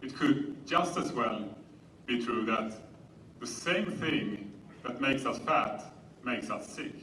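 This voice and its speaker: A man with a Swedish accent presents to an audience in a bright, clear-cut tone. His delivery is measured and explanatory, like a conference talk. The sound is roomy and picked up from a distance, with a little noise. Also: mid-range voice, lively intonation. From Sweden. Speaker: conference speaker. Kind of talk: public presentation lecture.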